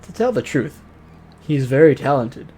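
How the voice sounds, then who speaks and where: A man hosts a game let's play, reading story text aloud in a theatrical way. He drops out of narration into joking, exclamatory asides. The home recording has clear background noise. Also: medium pitch, big pitch swings, normal speed, darkish tone. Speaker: host reading a visual novel aloud.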